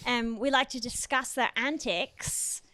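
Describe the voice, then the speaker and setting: A woman's voice: fairly high pitch, measured pace, sharp tone, a little hiss or hum. A woman co-hosting a casual comedy podcast, riffing playfully on jokes and laughing often. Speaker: podcast co-host.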